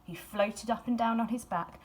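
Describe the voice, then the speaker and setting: A woman reads a children's storybook aloud expressively, in a measured storytelling manner with dramatic emphasis and hushed phrases. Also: on the high side, slight background noise. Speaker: school staff member reading a picture book aloud.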